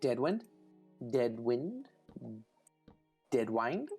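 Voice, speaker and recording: A man with a Californian accent rambles in loose gamer chat, stopping and restarting, dropping "dude" and "like" everywhere. His pitch sits mid-range for a man, and faint music plays under the voice chat. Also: very animated, very slow, mellow. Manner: rambling, hesitant, lots of "dude" and "like". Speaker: gaming friend on voice chat.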